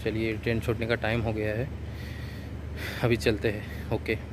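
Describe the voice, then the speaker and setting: A young man talks casually into a phone held out in front of him, pointing out each view with eager chatter. Loud train rumble and rain wash under his voice. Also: completely flat delivery, normal speed. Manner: chatty, eager, shows viewers each sight. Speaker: travel vlogger. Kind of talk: casual travel vlog narration. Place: railway platform and moving train, with rain. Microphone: phone held at arm's length.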